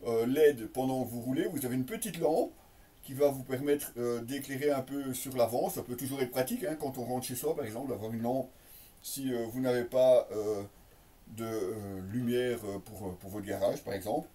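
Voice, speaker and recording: Picked up a little way from the microphone, a man presents gear in an unscripted way. His voice is mellow and medium in pitch. He builds his sentences bit by bit, often stretching out a hesitation before the next phrase. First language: French. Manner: hesitant, piecemeal phrasing with frequent drawn-out euhs. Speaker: motorcycle gear reviewer. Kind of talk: unboxing presentation, casual unscripted commentary. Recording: slightly distant microphone.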